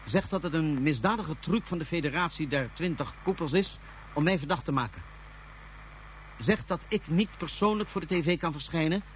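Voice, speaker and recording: An actor playing an imperious, calculating fugitive ruler from Mars in a science fiction radio play, speaking in curt orders. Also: male voice, a little high, slight background noise, natural voice. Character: haughty, scheming fugitive ruler of a Martian realm.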